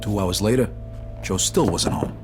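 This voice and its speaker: An actor playing a brooding, tough young mobster with an American accent and a terse manner. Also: male voice, low voice, normal speed. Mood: sombre narrating. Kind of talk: game acting, dialogue and narration. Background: background music.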